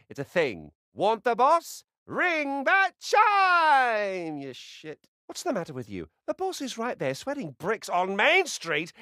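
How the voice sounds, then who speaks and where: A man voicing a rude, sarcastic, foul-mouthed squirrel spirit in a video game, swinging between muttered asides and brash yells. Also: extremely high pitch, no echo, clean studio sound, heavily acted. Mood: bossy, impatient. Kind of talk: video game character acting.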